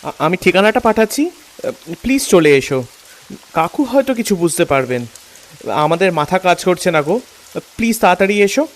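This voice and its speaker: A man's voice: high-pitched, expressive, normal speed, pauses often, slight background noise. A man playing an anxious, shaken young friend in an audio drama, earnest and urgent. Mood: panicked, pleading.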